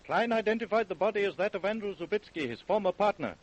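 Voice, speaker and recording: A man's voice: high-pitched, expressive, quick pace. A man narrating a scripted radio crime drama on a muffled, noisy recording.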